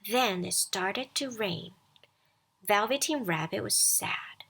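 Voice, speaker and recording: A woman reading a scripted children's bedtime story aloud as storyteller, expressive and deliberate. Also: medium pitch, gravelly, slightly rough, poor audio.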